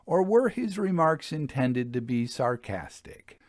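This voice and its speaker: A man reading a literary travel tale aloud in a theatrical, expressive way with deliberate phrasing. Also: a little high, dry recording, clean studio sound. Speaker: audiobook reader, storyteller. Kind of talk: dramatic literary narration of a Victorian travel memoir.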